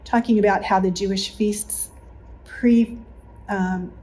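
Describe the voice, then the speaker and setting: Woman reminiscing in an even, mellow voice. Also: mid-range voice, slow, low-quality recording. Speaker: longtime church member being interviewed. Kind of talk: reflective spoken recollection in a group interview. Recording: faint background noise.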